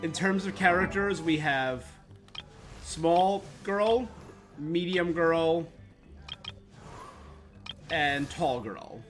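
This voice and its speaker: A man's voice: fairly high pitch, expressive, extremely slow, long pauses, fairly bright tone. A man streaming a game, chatting casually and thinking aloud in a rambling, opinionated way. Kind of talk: casual livestream gaming chat. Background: game music.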